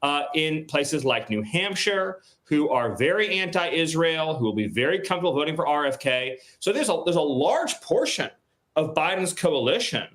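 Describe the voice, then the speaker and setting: A man appearing as a guest commentator on a political show, arguing his points firmly and repeating words for emphasis. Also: a little high. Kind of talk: political argument on TV.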